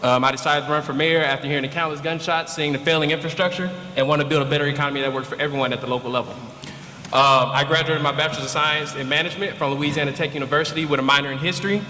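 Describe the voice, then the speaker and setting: Brief: young male candidate, rapid and pressed, roomy echo, faint hall noise. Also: on the high side, steady tone, bright, forceful tone. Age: young adult. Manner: rapid, listing policy points. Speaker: mayoral candidate. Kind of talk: campaign speech at a panel. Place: large indoor event hall.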